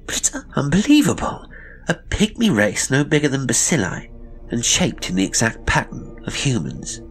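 A man's voice: wildly swooping pitch, moderate pace, faint music behind. A man voicing a frightened upper-class woman who shrieks and gasps in horror. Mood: astonished. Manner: shrill and breathless.

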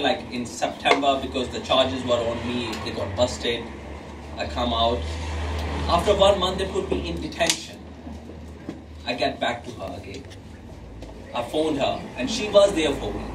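A man from Pakistan in his thirties, speaking English as a second language, addressing a crowd at an art gallery in an earnest, halting way. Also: mid-range voice. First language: not English. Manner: earnest, halting non-native phrasing. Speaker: former detainee speaking at an event. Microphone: far camera. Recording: roomy, distant, loud crowd noise.

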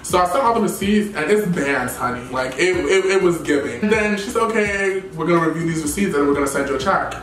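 A young man in his twenties telling a storytime vlog with animated, expressive delivery and sassy asides, filmed in a room by a camera a little way off. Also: high-pitched, a little fast, hardly any pauses, very noisy. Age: 20s. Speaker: YouTuber. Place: indoor room with plain wall and plant. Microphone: camera at a distance, chest-up framing. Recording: roomy, somewhat distant sound.

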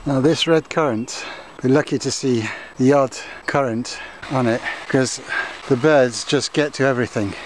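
A man's voice: medium pitch, moderate pace, echoey room, slight background noise, poor audio. An older man chatting to camera about his garden, telling a story and gesturing with his hands. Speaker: gardening vlogger. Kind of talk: casual talk to camera. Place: home conservatory, indoors.

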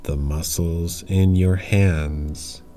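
A man leads a guided relaxation in a deep voice at an extremely slow pace. He gives calm, simple instructions in short phrases, separated by long pauses. Faint music plays behind him. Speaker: yoga nidra meditation guide.

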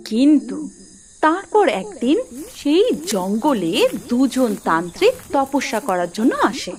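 A woman's voice: high-pitched, big pitch swings, a little slow, a little mellow. A woman narrating a scripted cartoon fairy tale as a storyteller.

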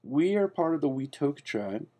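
A man playing a defensive, self-important character in a comedic spoof monologue, talking in a hesitant, rambling way with deadpan earnestness. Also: fairly high pitch, moderate pace. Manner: hesitant and rambling, full of fillers and restarts; deadpan, earnest delivery of absurd claims.

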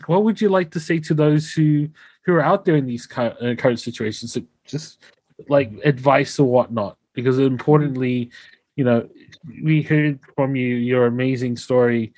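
A man's voice: mid-range voice, darkish tone, no echo. Young male podcast host, enthusiastic, headset on a video call, dry close sound. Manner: effusive, enthusiastic. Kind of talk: casual interview chat. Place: home room, indoors.

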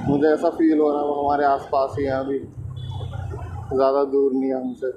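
Even-toned man over loud road noise. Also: mid-range voice, normal speed, lo-fi sound. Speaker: vlogger, motorbike rider. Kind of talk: casual vlog chat while riding. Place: outdoors, riding a motorbike on a road. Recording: loud traffic and wind noise. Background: vehicle and road noise.

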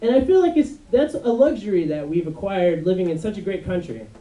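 Young man, stand-up comic, high unmasculine voice, rambling with fillers, recorded from afar. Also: expressive, dark tone. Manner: rambling, halting with many fillers. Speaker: stand-up comedian. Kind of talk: stand-up routine. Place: dark club stage before a live audience. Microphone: handheld mic on a stand. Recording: echoey room, mic from far off.

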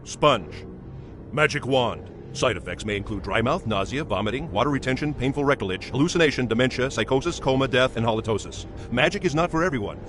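An actor playing men of a fantasy kingdom in a video game, from peasant to priest. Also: male voice, medium pitch, lively intonation, fairly quick, music in the background, natural voice. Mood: solemn. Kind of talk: video game character barks.

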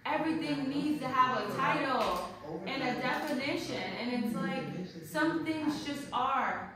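A woman's voice: high-pitched, measured pace, crowd noise. A thirty-year-old woman speaking candidly and with feeling on a panel, laughing and swearing freely. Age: 30s. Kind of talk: casual panel talk. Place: art gallery, indoors. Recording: echoey gallery room from far off, background noise.

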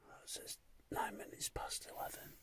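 A man's voice: normal speed, whispered. A man giving a casual, wry, muttered solo vlog in and around a car at night. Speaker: vlogger, hunter.

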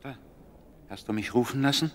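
An actor playing an eager, friendly young prince in a German radio play. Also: male voice, medium pitch, a little fast, over loud music, lightly acted. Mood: curious, polite.

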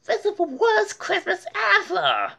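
A woman voicing an excitable, demanding little girl from a storybook, squealing and exclaiming dramatically. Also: very high voice, measured pace, creaky, pitched above the performer's own voice, exaggerated voice. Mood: outraged.

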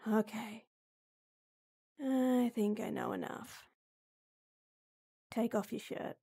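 A woman playing a gruff but caring tomboy best friend in an intimate audio roleplay, blunt and exasperated, with frequent sighs. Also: fairly high pitch, mellow, full character voice. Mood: brisk, caring.

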